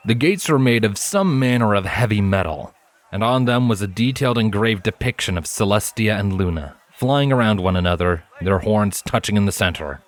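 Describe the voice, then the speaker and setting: A man reading scripted story narration as the narrator of an audiobook. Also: fairly low pitch. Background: soft music.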